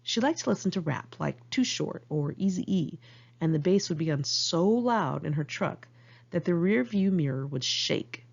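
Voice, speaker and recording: American woman, informal memoir narration, lively swooping intonation, fry at the edges, candid, wry, dull homemade recording. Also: on the low side, a little slow. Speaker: author reading own memoir.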